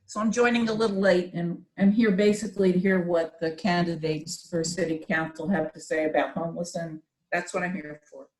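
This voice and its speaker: A woman with a slightly low voice talks casually as a local resident. She is on an online call from a very echoey room. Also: steady tone, brisk, few pauses, darkish tone. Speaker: local resident attending meeting. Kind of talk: casual remarks.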